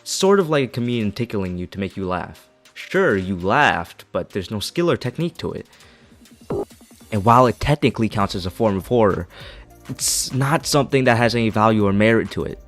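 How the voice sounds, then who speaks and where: A man's voice: on the low side, animated, brisk, soft background music. A man reading a scripted video essay as its narrator, with an opinionated, critical manner.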